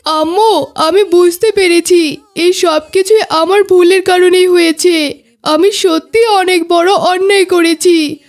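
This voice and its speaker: A very high-pitched young schoolboy voiced by a woman in a children's cartoon. He speaks earnestly and formally, like a child owning up to things. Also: steady tone, slow pace, heavily acted. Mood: remorseful. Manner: earnest, apologetic, formal phrasing. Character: remorseful, pleading schoolboy who has hurt himself. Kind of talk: cartoon dialogue.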